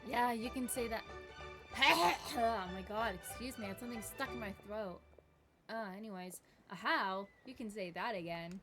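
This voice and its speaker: Female livestreamer, high-pitched, off-the-cuff gameplay chat, prominent loud game music underneath. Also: normal speed. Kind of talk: casual game streaming chat.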